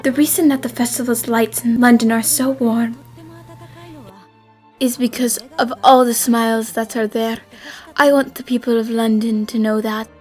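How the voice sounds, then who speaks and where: A woman playing earnest, expressive young female characters in an anime-style detective fan dub. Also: a little high, natural voice.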